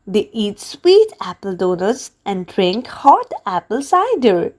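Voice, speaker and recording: A woman reading a children's storybook aloud in an expressive, deliberate way meant for young listeners. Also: medium pitch. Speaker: children's book reader.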